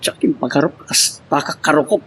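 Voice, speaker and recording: A man's voice: fairly high pitch, brisk, fairly bright tone, slightly rough. An actor playing a hurt, remorseful man pleading with his lover in an Ilocano melodrama. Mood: weary, pleading. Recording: faint background noise.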